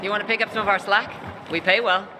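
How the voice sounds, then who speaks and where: A woman's voice: mid-range voice, fairly even intonation, background noise, natural voice. An actress playing a blunt female military officer who briefs a newcomer in a game cutscene. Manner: blunt, brisk. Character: tough female soldier, blunt and proud. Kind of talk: video game cutscene acting.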